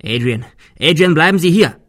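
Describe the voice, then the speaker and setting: A man's voice: mid-range voice, expressive, normal speed, no echo, clean studio sound, voice pushed up. A man voicing an irritable, blunt man in an audiobook, scolding in a raised voice. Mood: scolding, alarmed. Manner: scolding, increasingly loud. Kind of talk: audiobook character dialogue.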